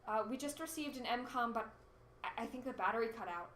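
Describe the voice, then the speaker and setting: Woman acting anxious radio host, bright echoey voice. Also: fairly high pitch, fast, close to an everyday voice. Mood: urgent, informative. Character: anxious, determined female radio host during a disaster. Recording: roomy echo with faint noise.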